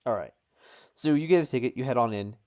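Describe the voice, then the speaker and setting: A man runs a tabletop game over slightly dull, lo-fi audio. He improvises descriptions in a halting way, circling back and repeating phrases as he searches for the right word. His voice sits at an ordinary male pitch. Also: darkish tone. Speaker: game master.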